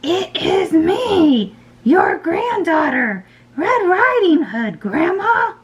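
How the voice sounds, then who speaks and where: A woman voicing a wily storybook wolf who mimics a female voice in cloying, drawn-out falsetto. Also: big pitch swings, heavily acted. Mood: cloyingly sweet. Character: wily male wolf mimicking little girl, grandmother.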